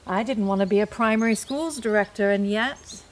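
A woman's voice: mid-range voice, normal speed, slight background noise, lightly acted. An actress in an audio drama playing a sensible, resigned woman with a steadying, plain-spoken manner. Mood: resigned, reflective. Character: resigned, sensible, soothing woman, school director. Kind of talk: naturalistic audio drama acting.

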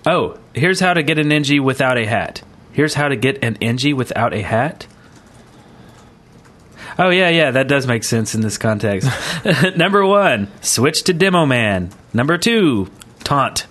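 A man's voice: mid-range voice, expressive, measured pace, a bit bright. A man co-hosting a gaming podcast in a casual, easygoing way, reading listener emails and reacting to them. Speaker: podcast co-host.

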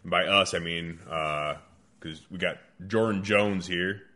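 A low-voiced man hosts a podcast with a bright, forceful edge to his tone. He rambles casually and swears freely, and the recording is dry and close. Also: fairly even intonation, a little slow, no room sound. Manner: profane, rambling, laughs often. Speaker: podcast host. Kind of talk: casual podcast chat and ad read. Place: hunting cabin.

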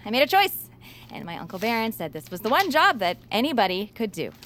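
A woman playing a nervous, apologetic, self-doubting young radio host in a scripted audio drama, speaking haltingly. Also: on the high side, sing-song, a bit bright, slight background noise. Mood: flustered, apologetic.

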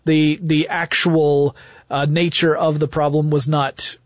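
Male podcast co-host, higher-pitched, wry and explanatory, conversational storytelling, dry sound. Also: level tone, slow pace, dry recording. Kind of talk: conversational history podcast, telling anecdotes.

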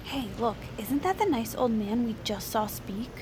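A woman's voice: a little high, animated, moderate pace, very noisy, close to an everyday voice. An actress playing a meek, chipper female assistant in an audio drama.

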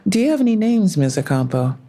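An actress playing a composed, sympathetic police officer in an audio drama, speaking in a measured, formal and careful way. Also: female voice, medium pitch, sing-song, mellow. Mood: businesslike. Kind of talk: full-cast audio drama acting.

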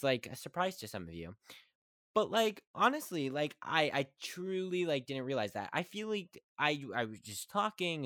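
Fast-talking young man, stumbling over words. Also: fairly high pitch, a bit bright, no echo, clean studio sound. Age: young adult. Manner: fast, stumbling, restarting often. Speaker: podcast host. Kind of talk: casual talk about acting.

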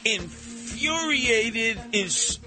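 A man hosting a radio talk show, emphatic and theatrical, repeating words for effect in an animated, opinionated style. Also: very high voice, extremely slow, over music.

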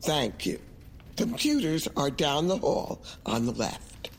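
A woman playing a prim, polite but firm librarian in an audio drama, measured and courteous. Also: deep voice, big pitch swings, full character voice. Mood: polite firmness. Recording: echoey hall, faint room noise.

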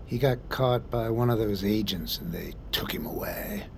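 An actor playing a friendly, protective older truck driver in an audio drama, folksy and halting, with a creaky voice. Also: male voice, fairly low pitch. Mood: wistful, wary. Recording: noisy vehicle cab ambience.

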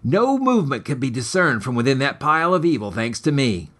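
A man's voice: medium pitch, very animated, brisk, a bit bright. A man reading scripted material as author and host, with a melodramatic, ornate storytelling manner. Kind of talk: scripted dramatic story narration.

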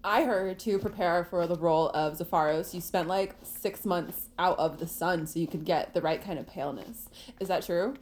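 A woman's voice: fairly low pitch, moderate pace, darkish tone, close to an everyday voice. A woman playing a crude but good-hearted young sidekick in a comic audio drama, with a casual, blunt, slangy delivery. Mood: casual, curious.

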